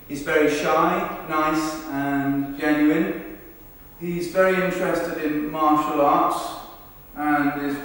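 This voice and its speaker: A man's voice: on the high side, a bit bright. A man performing verbatim theatre monologues, earnestly telling people's accounts in a rehearsal. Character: people recounting a football club's celebrity visit. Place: large reverberant rehearsal room. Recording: echoey hall, distant mic, faint noise.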